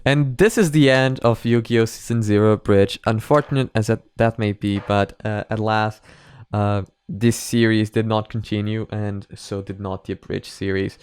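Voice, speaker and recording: A man's voice: lowish voice, steady tone, moderate pace, darkish tone, clear, resonant tone, dry recording. A man hosting a reaction video, giving casual, conversational commentary.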